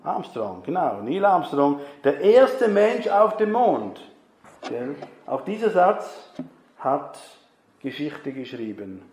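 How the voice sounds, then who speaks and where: A man preaches at an unhurried, deliberate pace, talking with his congregation and quizzing them as he goes. His voice rings out in an echoey church hall. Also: fairly high pitch, sing-song, darkish tone. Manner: chatty, asks the congregation questions. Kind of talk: conversational sermon.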